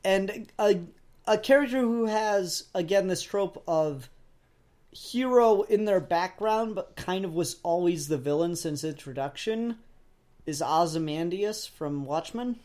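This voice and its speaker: A man's voice: high-pitched, animated, a little slow, a little mellow. A man taking part in a casual discussion as a panelist, talking in a tentative, rambling way. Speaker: podcast panelist.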